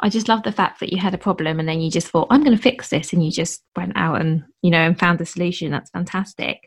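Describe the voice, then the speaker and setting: A woman with a fairly low-pitched voice hosts a podcast interview. She speaks casually and with clear enthusiasm for her guest and subject. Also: fast talker. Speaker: podcast host.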